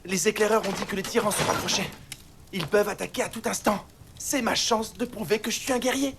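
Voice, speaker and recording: An actor voicing an eager, impulsive young boy recruit in a dubbed animated film, excitable and prone to stammering. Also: mid-range voice, a little fast, slight background noise, lightly acted. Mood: eager, pleading. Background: music.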